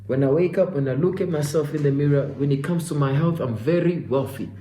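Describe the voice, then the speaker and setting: A young man in his twenties delivers a preachy motivational monologue, circling back to the same phrases again and again. His voice sits a little high and has a mellow, soft-edged tone. The room around him rings with echo. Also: fairly quick, slight background noise. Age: 20s. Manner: preachy, repetitive, addresses himself and listener as "my guy". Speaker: self-development vlogger.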